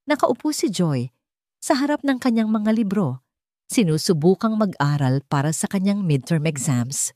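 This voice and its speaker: A Filipino woman narrates a dramatic story in a lowish voice. Her pitch moves widely from phrase to phrase. The sound is close and dry. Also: a little mellow, dry recording.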